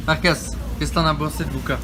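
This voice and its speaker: A man's voice: a little high, fairly even intonation, background noise. A male vlogger hosting a joking mock interview, teasing and playful, in a busy public place. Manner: playful, teasing, quick to laugh.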